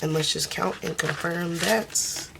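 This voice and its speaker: A woman who is losing her voice speaks softly and casually, counting money aloud in a budgeting vlog. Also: a little slow, creaky, very noisy. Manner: soft, low-key, counting under her breath. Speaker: budgeting vlogger.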